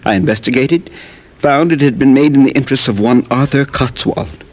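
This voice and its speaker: An actor playing a sick, tired farmer from the West Indies in a 1950s radio drama, talking quietly and haltingly. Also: male voice, medium pitch, close to an everyday voice. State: ill, weak. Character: sick weary Haitian farmer, middle-aged man.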